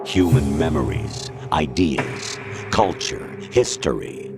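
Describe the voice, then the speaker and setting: A man voicing a cold, condescending artificial intelligence that poses as a colonel, delivering measured lectures in a video game cutscene. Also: rumbling low, expressive, exaggerated voice. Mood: cold lecturing. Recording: dramatic music behind the voice.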